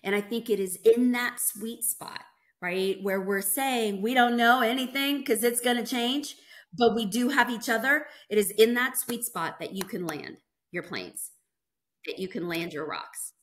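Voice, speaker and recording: A woman's voice: on the high side, a little slow. A middle-aged woman whose first language is not English, talking animatedly as an interview guest, emphatic and reflective. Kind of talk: conversational interview.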